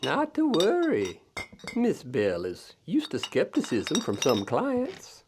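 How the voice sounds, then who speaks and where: A man's voice: a little high, huge pitch swings, slow, noisy background, exaggerated voice. A man playing an eager, courteous, folksy assistant to a ghost hunter in an audio drama. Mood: insistent. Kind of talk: audio drama character acting.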